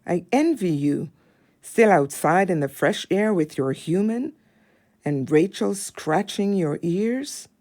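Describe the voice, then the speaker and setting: A gentle, wistful dog spirit voiced by a woman in an audio play. The voice is low and soft-edged, unhurried and tender, reassuring without any push. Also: expressive. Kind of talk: audio drama acting.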